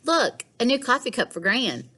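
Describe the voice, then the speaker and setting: A woman's voice: a little high, very animated, moderate pace, sharp tone, slightly rough, pitched above the performer's own voice, full character voice. A woman reading the part of an earnest, emotional young girl in a storybook. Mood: eager.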